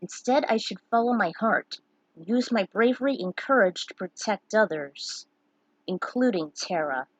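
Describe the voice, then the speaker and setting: A woman's voice: mid-range voice, lively intonation, moderate pace, no room sound, lightly acted, poor audio. A woman voicing a bashful young male hero who talks in an earnest, hesitant way.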